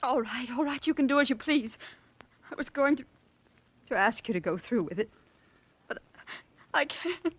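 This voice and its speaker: Pleading young female heiress, highish breathy voice, hesitant, vintage radio melodrama. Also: lively intonation, normal speed, long pauses. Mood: hurt, hesitant. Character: anxious, pleading young heiress engaged in secret. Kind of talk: 1940s radio drama acting.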